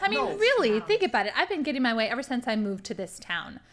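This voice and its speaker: Female villain played by a woman, radio comedy-drama: sugary innocence over smug scheming, higher register. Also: big pitch swings, fast talker, crowd noise. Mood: smug, scornful. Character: gleefully manipulative female crime lieutenant posing as sweet innocent. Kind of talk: improvised radio drama acting.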